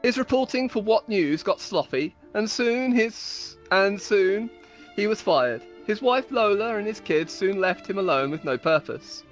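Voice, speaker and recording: A woman's voice: medium pitch, expressive, unhurried, soft background music. A woman storyteller giving a dramatic, mock-epic reading of comic fantasy stories, with amused asides. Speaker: storyteller on a game server.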